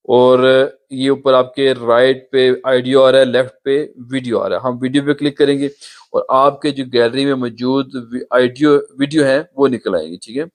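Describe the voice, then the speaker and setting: A man hosting a step-by-step app tutorial, patiently pointing things out on the screen, recorded on a phone. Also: medium pitch. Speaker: YouTube tutorial host.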